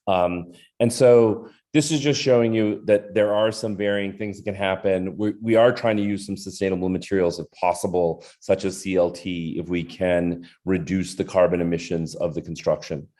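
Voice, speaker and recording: Male presenter, low and mellow voice, halting delivery full of fillers. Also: fairly even intonation. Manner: hesitant, many ums and uhs. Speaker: architect presenting a design. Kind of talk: presentation talk.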